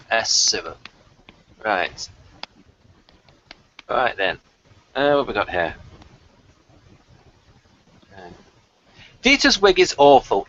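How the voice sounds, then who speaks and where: A man's voice: mid-range voice, bright, forceful tone, dull audio. A man co-hosting a casual review chat, with a wry way of talking as he mixes prepared jokes with asides. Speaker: podcast co-host.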